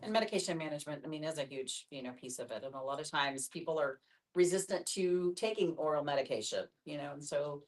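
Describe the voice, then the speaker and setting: A woman with a low voice talks quickly. She is explaining a point informally to a group. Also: rough recording.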